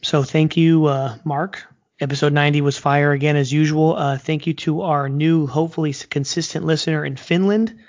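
A man co-hosting a casual podcast, talking in an excitable, slangy way full of "like" and "dude". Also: a little high, normal speed, highs cut off. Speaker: podcast co-host. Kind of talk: casual chat.

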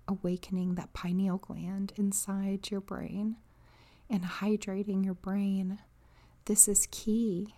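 Slow, breathy woman guiding meditation. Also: mid-range voice. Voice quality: soft, breathy, half-whispered. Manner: soothing, unhurried, pausing between phrases. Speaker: guided meditation leader. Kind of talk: guided meditation, softly spoken.

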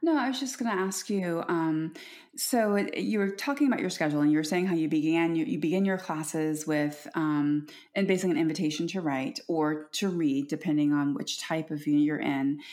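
A woman's voice: low-pitched, brisk. A woman interviewer in casual conversation, chatty and affirming as she shares stories and asks questions. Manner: warm, affirming, chatty storyteller.

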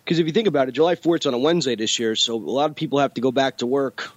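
Congested, rambling co-host. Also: male voice, medium pitch, very fast, dry recording, dull audio. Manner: rambling, filler-heavy, laid-back. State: congested with bronchitis. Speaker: podcast co-host. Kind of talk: casual chat.

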